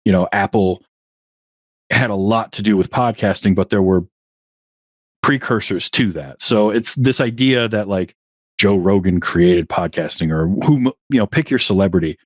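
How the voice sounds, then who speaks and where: A man's voice: fairly low pitch, moderate pace, no echo. A man as a podcast guest in casual conversation, rambling a little and restarting his sentences as he thinks. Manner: rambling, restarts often, chuckles at himself. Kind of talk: casual interview chat.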